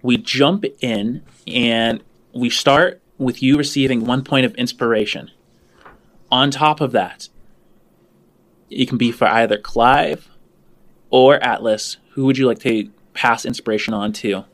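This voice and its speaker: A man's voice: mid-range voice, unhurried, a bit bright. A man hosting a tabletop game show, talking casually and improvising descriptive narration in fragments as game master.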